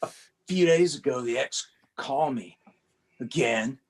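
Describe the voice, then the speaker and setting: A man's voice: medium pitch, unhurried. A man reading a comic aloud as a young male rookie cop, narrating his troubles in a plain conversational first-person way. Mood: wry.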